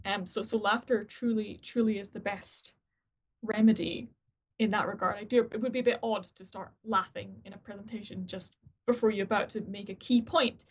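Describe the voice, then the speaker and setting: A woman's voice: mid-range voice, steady tone, normal speed, breathy edge. A woman, a guest who sings opera and teaches voice, chatting casually on a video call, often restarting her sentences and joking at her own expense. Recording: dull lo-fi video-call audio.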